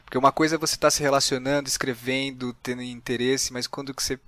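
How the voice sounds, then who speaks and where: A man's voice: medium pitch, level tone, fast talker, bright, forceful tone, no room sound. A man hosting a podcast, asking casual, slangy questions that he rephrases as he goes. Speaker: podcast host, interviewer.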